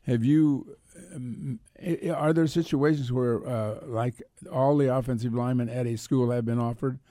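A man with a mellow, mid-pitched voice co-hosts sports radio. He feels out his questions in a halting, conversational way, with a dry studio sound. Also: steady tone, dry recording. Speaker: sports talk radio co-host.

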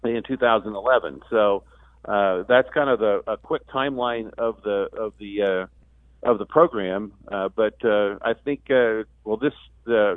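A man's voice: lowish voice, no echo. Man on a thin phone line. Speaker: radio play-by-play announcer as guest. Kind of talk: live sports talk radio interview.

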